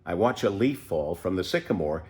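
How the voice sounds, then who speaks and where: A man reading a children's storybook as an unhurried, contented eighty-year-old pet tortoise who savours everything with wonder. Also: low voice, animated, a little mellow, pitched below the performer's own voice. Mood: serene, savouring. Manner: savouring, leisurely, full of wonder.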